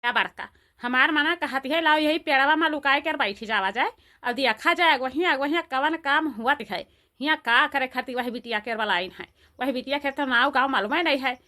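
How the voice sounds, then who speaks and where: A woman voicing an excitable, complaining, gossipy village woman in a cartoon, exclaiming and repeating herself in an Awadhi accent. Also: sits high, fairly quick. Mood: alarmed, gossipy. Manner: repetitive, exclamatory. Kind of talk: amateur cartoon voice acting.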